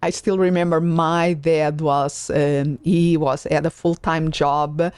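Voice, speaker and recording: A woman podcast host with a Portuguese accent speaks in a fairly low voice. She is enthusiastic but halting, circling back to rephrase as she talks. The sound is close and dry, with little room around it. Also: fairly even intonation, darkish tone, smooth, dry recording. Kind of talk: conversational interview.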